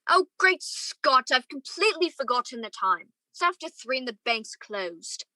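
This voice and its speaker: A wistful young gentleman played by a woman tells his love story in a radio play. The voice is bright and forward, recorded close and dry. He sounds earnest and torn. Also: high voice, big pitch swings, normal speed, no echo, faint music behind, exaggerated voice, poor audio. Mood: genial, flustered. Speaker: student or guest actor in a radio play. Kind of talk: radio play acting with first-person narration.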